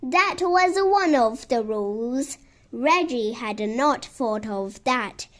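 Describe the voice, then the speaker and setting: Very slow, high-voiced woman storyteller. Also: expressive. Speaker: children's story reader. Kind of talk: scripted children's storytelling.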